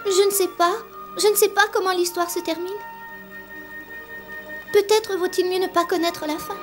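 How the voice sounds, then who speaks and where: An actress voicing an earnest, imaginative young girl in a dubbed animated drama. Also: very high voice, normal speed. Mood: sad, uncertain. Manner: earnest, childlike. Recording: voice over clear background music.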